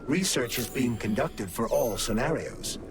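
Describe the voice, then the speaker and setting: An actor playing a polite, erudite AI glove companion in a video game, speaking formally and articulately in an explanatory way. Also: male voice, mid-range voice, animated, unhurried, gravelly. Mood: informative, concerned. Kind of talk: scripted video game dialogue. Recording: music behind.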